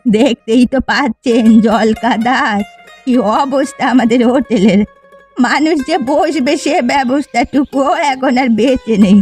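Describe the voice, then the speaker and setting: A woman's voice: sits high, unhurried, darkish tone, soft background music. A woman voicing a poor, worried old eatery keeper in a fairy-tale cartoon, plaintive and deferential.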